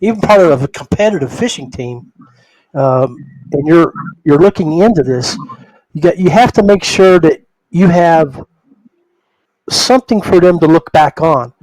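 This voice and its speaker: Male podcast host, slightly high voice, conversational and halting, very dry close sound. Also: expressive, a little mellow, no room sound, rough recording. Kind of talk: casual conversational interviewing.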